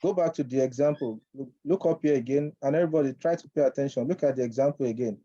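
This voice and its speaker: A man from Nigeria teaching Arabic over a video call, patiently repeating phrases slowly for his student to copy. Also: medium pitch, dark tone, no room sound, dull audio. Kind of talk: online language lesson.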